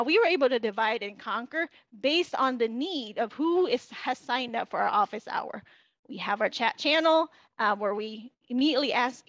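A woman's voice: sits high, a bit bright, no room sound. A woman in her thirties giving an earnest, explanatory conference keynote over slides on a video call, often restarting her phrases. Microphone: headset on a video call. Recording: dull lo-fi video call audio.

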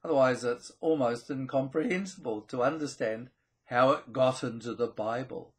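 A man presents a scripted explainer to camera, with deliberate, emphatic phrasing, dramatic pauses and a slightly husky voice. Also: mid-range voice. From New Zealand. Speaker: presenter of a theology video channel.

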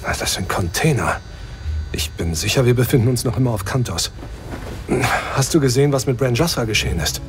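A man's voice: medium pitch, lively intonation, fairly quick, natural voice. Wisecracking space hero a man playing. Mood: teasing. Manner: dry, teasing. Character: wisecracking adult male space adventurer. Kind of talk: audio drama acting. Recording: music bed under voice.

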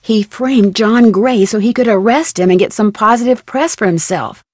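A woman playing a calm, sensible young American detective in a video game, explaining things in a clear-headed way. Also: fairly high pitch, moderate pace, dry recording, clean studio sound, natural voice. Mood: matter-of-fact. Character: composed, sensible young female amateur detective. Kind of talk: scripted video game voice acting.

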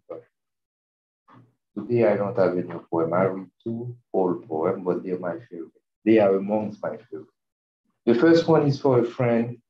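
Slow, soft-spoken Haitian man reading poems. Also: fairly low pitch, slight background noise. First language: Haitian Creole. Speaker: poet reading. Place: large room with seated audience. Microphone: video call. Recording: muffled echoey room audio.

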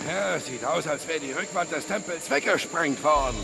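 A man playing an older, gruff and wary treasure-hunting partner in a German video game dub, with a gravelly voice. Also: on the high side, moderate pace, pushed and bright, slight background noise. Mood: frustrated.

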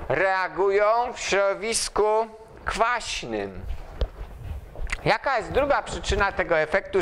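Slow-talking older male lecturer. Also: high voice, fairly bright tone, a little hiss or hum. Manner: slow, asks students questions while writing on the board. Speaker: university lecturer. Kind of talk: chalkboard lecture. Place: large lecture hall in front of a chalkboard.

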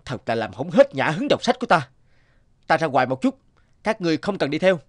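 Upright young magistrate, animated delivery. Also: male voice, fairly high pitch, brisk, no room sound, studio quality. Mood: calm, firm. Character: upright, kind young magistrate in a folk tale. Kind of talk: cartoon voice acting.

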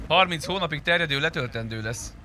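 Bossy, higher-voiced man over clear noise. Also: lively intonation. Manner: bossy, coarse-mouthed. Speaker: player leading a group in an online roleplay game. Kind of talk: improvised in-game roleplay chat.

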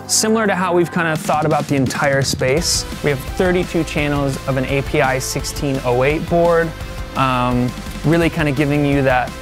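Male tour host, thirties, fairly high voice, casual and upbeat delivery, music underneath. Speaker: studio owner giving a tour. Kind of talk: casual presenter-style tour talk. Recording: music playing behind the voice. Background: background music.

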